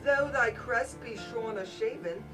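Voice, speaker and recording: A man's voice: very high voice, measured pace, distant mic, music in the background, voice pushed up. Man acting an anxious, exclamatory haunted scholar, raised voice. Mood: bold, demanding. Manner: theatrical, exclamatory. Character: anxious, grief-haunted scholar crying out in the night.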